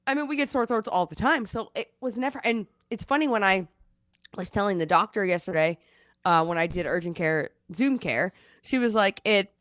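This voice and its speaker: Congested woman co-host, animated casual storyteller, dry sound. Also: mid-range voice, dry recording. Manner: chatty storytelling, animated retelling. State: congested, losing her voice. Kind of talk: casual chat. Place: at home in Seattle.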